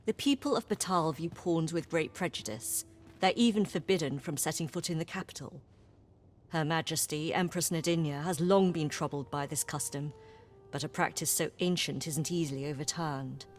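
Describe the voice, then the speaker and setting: A woman playing a composed, courteous officer of an empress in a fantasy video game, speaking in measured, archaic, formal phrases. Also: low voice, close to an everyday voice.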